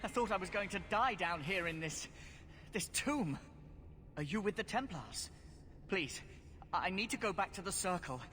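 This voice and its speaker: An actor playing a frightened, earnest young runaway mage in a video game, timid and pleading. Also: male voice, high voice, lively intonation, normal speed, pauses often, big-room sound. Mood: frightened, shaken. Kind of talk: dramatic video game acting.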